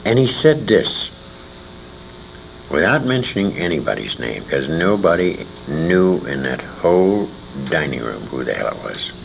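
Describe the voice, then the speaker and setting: An older man reminiscing in an interview, telling anecdotes in a rambling, halting way. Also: a bass voice, vocal fry. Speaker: interviewee, radio veteran. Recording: noisy amateur 1980s convention interview recording.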